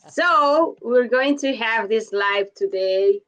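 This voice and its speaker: Woman hosting a live show, slow careful talk, pitch a bit high. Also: a bit bright. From Mexico. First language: Spanish. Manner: deliberate, measured introductions. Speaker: host. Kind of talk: live online interview.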